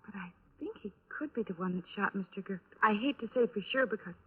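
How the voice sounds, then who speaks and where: A woman's voice: mid-range voice, lively intonation, husky. An actress playing a guarded, uncertain female witness, hesitant and defensive, in a 1950s radio crime drama. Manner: hesitant, halting. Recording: restored 1950s radio, narrow band.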